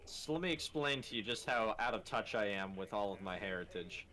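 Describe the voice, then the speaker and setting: A man's voice: lowish voice, lively intonation, brisk, crowd noise. A man from Florida with an American accent, joking and self-mocking on a gaming stream. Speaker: stream host. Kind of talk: casual gaming chat.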